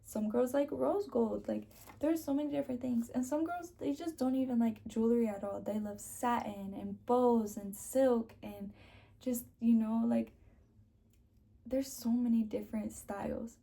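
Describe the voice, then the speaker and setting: A woman's voice: a little high, measured pace, gravelly, warm tone. A young woman with a sore throat, chatting casually to camera as a beauty vlogger and sprinkling her talk with "like".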